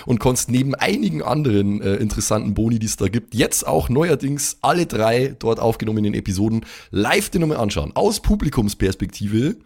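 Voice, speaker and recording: A man's voice: medium pitch, animated, fairly quick, hardly any pauses, darkish tone, slightly rough. A man chatting casually as a podcast host, with a matter-of-fact manner. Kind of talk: casual improvised chat.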